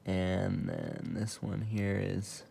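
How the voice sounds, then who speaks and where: A man's voice: low voice, wildly swooping pitch, extremely slow, creaky. A man giving a casual, unscripted technical walkthrough in a matter-of-fact, explanatory way, as a hobbyist showing equipment. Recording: noticeable background noise with clicks.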